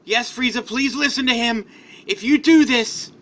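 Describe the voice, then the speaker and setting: A man playing a resolute, loyal warrior brother, earnest and solemn, in an animated-style story. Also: very high voice, normal speed, a little hiss or hum, pitched above the performer's own voice. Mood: pleading.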